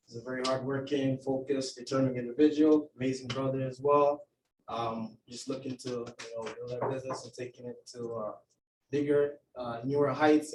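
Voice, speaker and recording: A man's voice: mid-range voice, darkish tone. A man in his twenties from Tanzania introducing himself politely and earnestly to a meeting. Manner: courteous, earnest. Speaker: guest photographer. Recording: echoey room, distant mic, faint hum.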